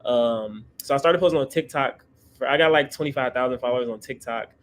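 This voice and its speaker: A man's voice: medium pitch, brisk, slight background noise. A man in his twenties telling his story casually as an interview guest, often checking in with a tag question. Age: 20s. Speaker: guest, credit content creator.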